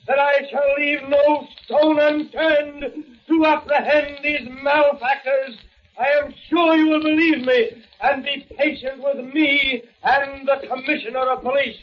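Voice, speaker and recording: An actor playing a middle-aged city mayor who speaks in a formal, measured way, in 1940s radio acting. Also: male voice, very high-pitched, fairly even intonation. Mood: solemn. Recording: muffled old radio recording.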